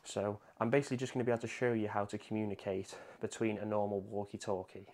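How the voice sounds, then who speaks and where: A man's voice: on the low side, little pitch movement, fast, a little mellow. A man presenting a casual hands-on tech tutorial, explaining things in an informal, conversational way. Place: indoor room at a home desk.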